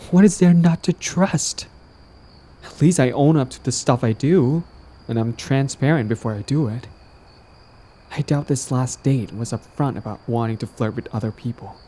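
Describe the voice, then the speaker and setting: A man's voice: medium pitch, mellow, husky, natural voice. A man playing a lovestruck, persistent villain in an intimate romantic audio roleplay, talking casually and coaxingly to the listener, smug yet earnest. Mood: earnest, defensive.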